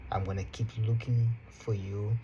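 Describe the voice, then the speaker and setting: Young man, about twenty, Portuguese native speaker; mellow, relaxed, motivational vlog to camera. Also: fairly low pitch, highs cut off, a little hiss or hum. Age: young adult. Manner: relaxed, unhurried, repeats phrases, fillers like "you know" and "right". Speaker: vlogger. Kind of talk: casual motivational talk to camera. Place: his room.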